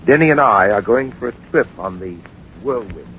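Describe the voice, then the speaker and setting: An actor playing a shrewd, commanding gentleman detective in a 1940s radio drama, speaking in a brisk, probing, authoritative way. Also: lowish voice, animated. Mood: probing, composed. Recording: noisy, muffled 1940s radio recording.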